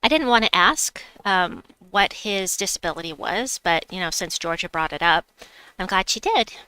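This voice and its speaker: A woman's voice: fairly low pitch, lively intonation, normal speed, sharp tone, clean tone, no room sound, poor audio. A woman with a slight New York accent, streaming a game and chatting with her viewers as she plays. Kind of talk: casual live-stream chat while gaming.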